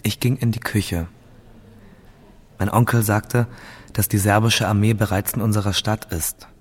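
A man's voice: fairly low pitch, steady tone, unhurried. An actor playing a grieving seventeen-year-old boy fleeing war, telling his story in a subdued, reflective radio drama monologue. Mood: subdued, anxious.

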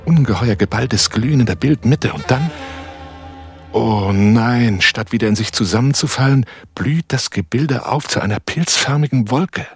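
An actor playing a cool, cautious arms smuggler on the run in a radio drama, with clipped, controlled delivery. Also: male voice, medium pitch, moderate pace, faint music behind, natural voice. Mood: intense, haunted. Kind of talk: German radio drama dialogue and first-person narration.

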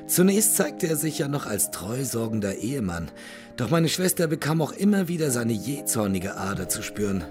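A man playing a grave, courteous older gentleman haunted by tragedy, speaking formally and with measure in a German audio drama. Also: mid-range voice, sing-song, moderate pace, music in the background, lightly acted. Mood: grave, bitter.

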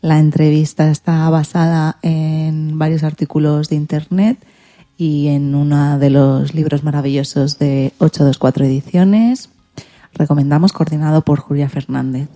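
A woman's voice: sits low, little pitch movement, moderate pace, dark tone. A woman hosting a radio magazine programme, presenting its sections.

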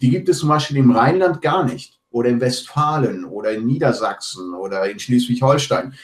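A man from Latin America talking casually over a video call, explaining his points in a measured way and listing examples. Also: mid-range voice. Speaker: panel guest. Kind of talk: casual political chat. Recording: echoey room.

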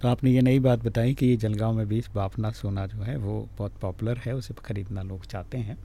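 A man with an Indian accent hosts a radio talk show in a mellow, soft-edged voice. He chats casually with a guest and often adds short affirming murmurs. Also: fairly low pitch, fairly even intonation, fairly quick, vocal fry. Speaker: radio host.

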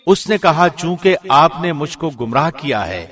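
Formal male reader with a highish voice. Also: very animated, fairly bright tone, faint music behind. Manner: measured, formal, carefully phrased. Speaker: translation reader, professional radio host. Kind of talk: scripted scripture translation reading.

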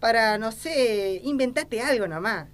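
A woman's voice: fairly high pitch, expressive, a little slow. A woman playing a crooked, lecherous, ignorant old small-town politician, with an Argentine accent and folksy, blustering talk in an amateur satirical sketch. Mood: bossy, scheming.

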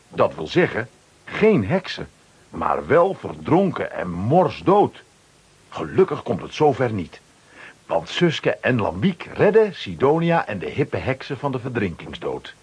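A man's voice: medium pitch, huge pitch swings, measured pace, a little mellow, slightly rough. A man narrating a children's radio play from a script, dramatically.

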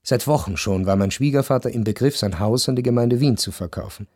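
An actor playing a reflective narrator who recalls his family's decline, in formal, measured literary narration for radio. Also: medium pitch, darkish tone, no echo, clean studio sound, natural voice. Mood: sober, measured.

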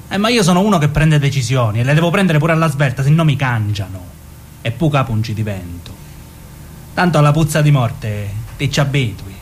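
Actor as cocky Calabrian mobster, swinging pitch. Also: male voice, a little hiss or hum, close to an everyday voice. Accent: southern Italian, Calabrian. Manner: crude, boastful, colloquial. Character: coarse, ambitious Calabrian mobster, cocky and callous. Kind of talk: theatrical radio drama acting in dialect-tinged speech.

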